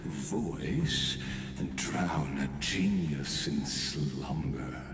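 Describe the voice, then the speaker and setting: A man's voice: rumbling low, drawn-out words, full character voice. A man playing a coldly patient imprisoned genius villain in a video game, speaking in theatrical, pompous monologues. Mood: grandiose disdain. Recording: echoing voice with game music behind.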